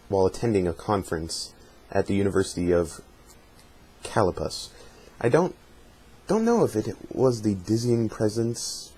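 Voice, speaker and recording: A man giving a measured dramatic reading of a journal, sometimes stumbling over technical words. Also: low voice, a little mellow, husky, rough recording. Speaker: amateur reader of fan fiction journal.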